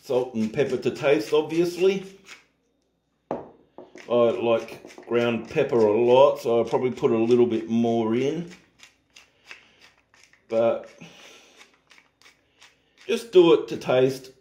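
A man's voice: medium pitch, slow, long pauses, roomy sound. A middle-aged man hosting a casual home cooking tutorial in his kitchen, chatty and joking at himself. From Australia. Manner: relaxed, chatty, jokes at himself.

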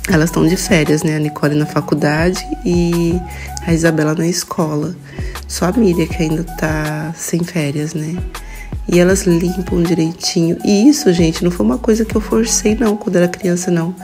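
A woman's voice: fairly low pitch, steady tone, normal speed, mellow, soft background music. A Brazilian woman in her forties narrating a casual vlog in a chatty, confiding way. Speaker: vlogger.

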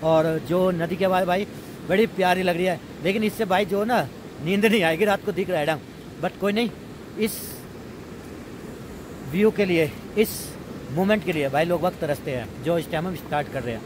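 Chatty man, noisy outdoor vlog. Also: sits high. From India. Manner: chatty, informal, addresses viewers as friends. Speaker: vlog host. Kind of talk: casual camping vlog narration to viewers. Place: outdoors at a riverside campsite. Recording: noisy outdoor recording, rushing river. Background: loud ambient river noise.

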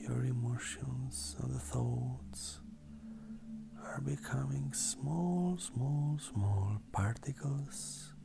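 A meditation guide speaking softly and breathily, often close to a whisper, in a gentle, unhurried manner with long pauses. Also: mid-range voice, gravelly, background noise. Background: ambient meditative music.

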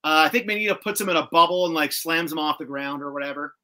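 A man hosting a casual video-call chat, recapping a cartoon episode in an animated, slangy, sometimes profane way. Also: on the high side, fast. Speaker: host. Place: indoor room with shelves of collectibles, on a video call.

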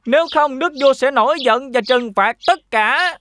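A woman's voice: high-pitched, moderate pace, fairly bright tone, slight background noise. A woman voicing a clever, boastful talking cat with a self-assured, persuasive manner in a children's fairy-tale cartoon. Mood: instructing.

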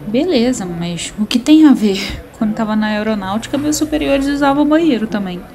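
A woman's voice: on the high side, expressive, a little fast, dark tone. A woman with a Brazilian accent voicing a brash, needling former air force member in an audio drama. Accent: Brazilian Portuguese.

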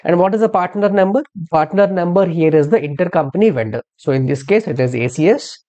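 A software instructor narrating a step-by-step tutorial in an explanatory way, posing questions and then answering them. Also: mid-range voice, lively intonation, brisk. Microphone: screen-recording voice-over.

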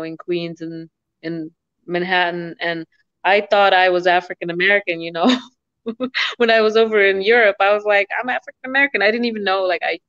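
A woman's voice: fairly low pitch, fairly bright tone, clean tone, dry recording. Chatty woman from Sudan on a video call. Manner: chatty, laughs easily. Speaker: guest business owner. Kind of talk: casual video call interview. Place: home room, indoors. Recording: dull lo-fi video call audio.

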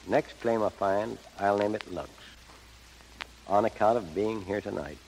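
A man's voice: fairly low pitch, unhurried, gravelly, darkish tone. An older man speaking in a folksy, plainspoken way as a guest in a scripted 1930s radio interview. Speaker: gold prospector guest. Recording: noisy, echoey 1930s radio broadcast.

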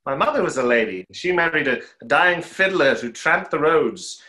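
Tormented young conjurer for a stage play, played by a man: highish, forceful, emphatic, bitter and lovestruck. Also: lively intonation, moderate pace, poor audio. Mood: anguished. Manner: theatrical, emphatic delivery. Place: small room at home, video call.

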